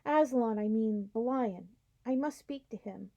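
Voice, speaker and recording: A woman's voice: on the high side, measured pace, creaky, dark tone. A woman voicing an earnest young boy in a storybook reading, urgent and breathless.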